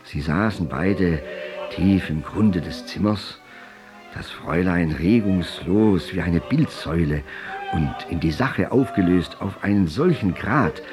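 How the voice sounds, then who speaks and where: Male narrator for literary radio drama: low register, formal and elevated delivery, music clearly behind. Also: expressive, unhurried.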